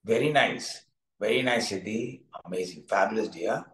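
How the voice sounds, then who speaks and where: A man's voice: on the low side, normal speed, fairly bright tone. A middle-aged man with an Indian accent teaching through improvised storytelling, repeating phrases for emphasis in an encouraging way. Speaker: coach telling a moral story to students. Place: indoor furnished room with curtains, echoey. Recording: echoey room sound.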